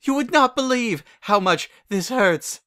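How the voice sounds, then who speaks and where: A man's voice: very high voice, animated, unhurried, bright, forceful tone, clean tone, voice pushed up, full character voice. A man voicing a prim, dramatic female unicorn pony with a haughty, theatrical manner in a story reading. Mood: pained, indignant.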